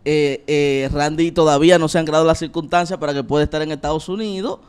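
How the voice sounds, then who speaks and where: A man's voice: on the high side, steady tone, fast talker, clean tone. A man in his thirties hosting a comedic talk show interview on a handheld mic in a small room, playful and theatrical, laughing often. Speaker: show host.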